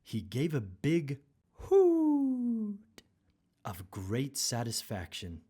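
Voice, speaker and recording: A woman with a husky voice narrating a rhyming children's story expressively. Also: rumbling low, wildly swooping pitch, extremely slow, mellow.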